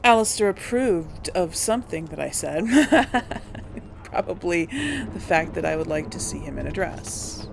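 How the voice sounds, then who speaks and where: A woman's voice: on the low side, lively intonation, measured pace, rough recording. A woman hosting a casual video game let's-play, commenting and replying in character. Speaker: gaming host. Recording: clear background game noise.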